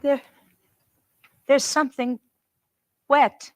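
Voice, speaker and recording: An actress with an American accent playing an anxious, homemaking young wife in a spooky house, in 1940s radio style. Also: female voice, high voice, level tone, extremely slow. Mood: frightened. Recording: slightly noisy vintage radio recording.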